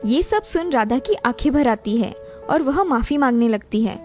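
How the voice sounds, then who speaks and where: High-pitched woman narrating a story. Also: expressive, normal speed, a little mellow, faint music behind. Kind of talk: scripted cartoon story narration.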